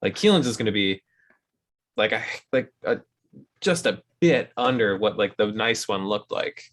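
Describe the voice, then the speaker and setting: A man chatting casually with friends, rambling and swearing in a relaxed way. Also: fairly low pitch, moderate pace. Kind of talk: casual chat among friends.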